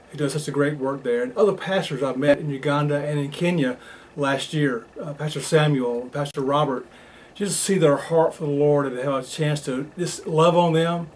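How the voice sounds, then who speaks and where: A man's voice: a little high, slight background noise, poor audio. A man giving an enthusiastic video update about a trip. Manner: enthusiastic and warm-hearted.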